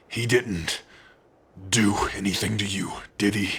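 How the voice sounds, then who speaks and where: A man voicing a gruff, fierce but tender orc warrior in an ASMR roleplay, with a husky, gravelly voice. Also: lowish voice, slow pace, a bit bright, exaggerated voice. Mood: relieved, tender. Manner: menacing to foes, tender with loved one.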